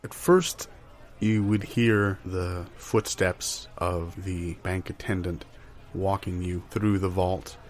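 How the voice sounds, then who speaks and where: A man improvising a comic anecdote in a deadpan, matter-of-fact, halting way. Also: deep voice. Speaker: improv comedy performer.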